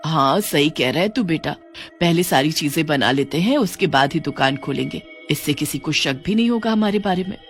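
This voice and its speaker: A worried, cautious mother, voiced by a man speaks at a fairly high pitch with music behind. She moralizes as storybook mothers do. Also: animated, moderate pace. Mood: agreeing. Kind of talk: Hindi storybook acting.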